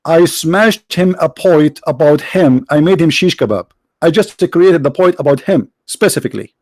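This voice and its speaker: A man whose first language is Arabic hosting a live stream, talking sarcastically, halting often on fillers and now and then breaking into mock singing. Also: a little high, lively intonation, darkish tone, dry recording. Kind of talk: mocking religious debate stream.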